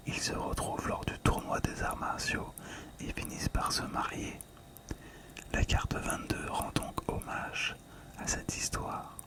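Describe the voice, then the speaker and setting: Whispering middle-aged ASMR host.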